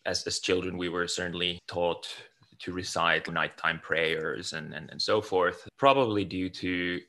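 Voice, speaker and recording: A man's voice: deep voice, fairly even intonation, unhurried, sharp tone. A middle-aged man from Finland, talking about his life as an interview guest, often restarting and repeating words. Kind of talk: casual interview talk.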